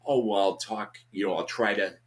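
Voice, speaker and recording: A man's voice: fairly low pitch, normal speed. A man in his fifties with a Boston accent, a podcast guest talking casually. He rambles and swears freely, and he keeps saying "you know what I'm saying". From Boston, USA. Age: 50s. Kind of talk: casual conversation.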